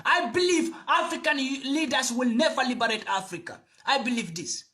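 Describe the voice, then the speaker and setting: A man speaks in a very high, sharp, bright voice. He gives a passionate address to camera. He drives his points home with preachy repetition. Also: normal speed. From Gambia. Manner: fiery, preachy, repeats phrases for emphasis. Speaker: video commentator.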